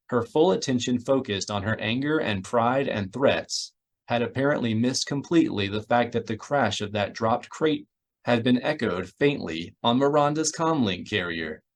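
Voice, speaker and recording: Male narrator for sci-fi audiobook, mid-pitched, highly varied intonation. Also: lively intonation, normal speed. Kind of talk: scripted narration of a sci-fi novel, with character voices.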